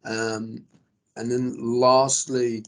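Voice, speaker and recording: A man's voice: medium pitch, little pitch movement, very slow, fairly bright tone. A man hosting and presenting a webinar in a casual, informal manner.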